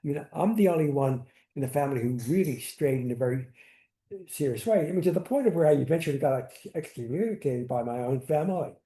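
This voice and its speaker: An older man telling personal stories on a community panel, halting and restarting, sprinkling in Yiddish words. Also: fairly high pitch, animated, warm tone. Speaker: synagogue founder on a panel. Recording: echoey room.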